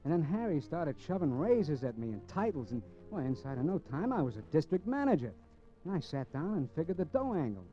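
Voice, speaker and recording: An actor playing a cocky, restless drifter crook in a 1950s radio crime drama, with slangy, wisecracking tough-guy talk. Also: fairly high pitch. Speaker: radio drama actor, lead and first-person narrator. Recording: muffled vintage 1950s radio recording, faint music.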